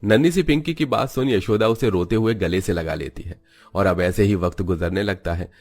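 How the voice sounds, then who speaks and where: Low-voiced male storyteller. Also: moderate pace, darkish tone. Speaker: storyteller, narrator. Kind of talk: scripted story narration.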